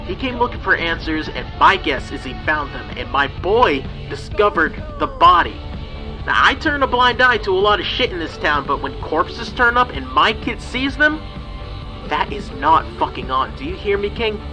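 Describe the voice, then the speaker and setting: An actor playing a gruff, sardonic, foul-mouthed small-town police chief in a radio drama, confrontational and folksy. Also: male voice, sits high, very animated, moderate pace, music in the background, rough recording. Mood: angry, warning.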